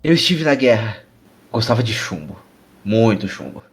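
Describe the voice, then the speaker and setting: A man's voice: lowish voice, very animated, moderate pace. A man with a Brazilian Portuguese accent playing a menacing, obsessed war-veteran journalist in an audio drama. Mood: gruff, dry. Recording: music under the voice.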